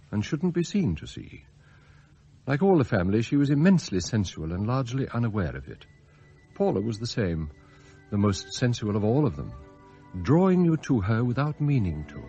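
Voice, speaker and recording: Husky, prim English butler. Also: male voice, medium pitch, huge pitch swings, warm tone, lo-fi sound, faint music behind, heavily acted. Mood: confiding. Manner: formal, measured, prim. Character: discreet, fastidious male English butler narrating his schemes. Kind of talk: radio drama acting.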